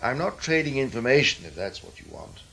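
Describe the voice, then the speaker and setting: A man's voice: medium pitch, very animated, a little fast, vocal fry, fairly bright tone. A man acting in a radio drama as a wary, composed KGB officer, controlled and probing in his talk.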